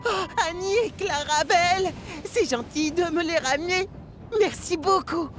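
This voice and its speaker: A woman's voice: very high-pitched, unhurried, a bit bright, slight background noise. A woman voicing an eager, earnest young male tank engine who exclaims boyishly in a children's cartoon. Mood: relieved. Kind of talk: children's cartoon dub acting.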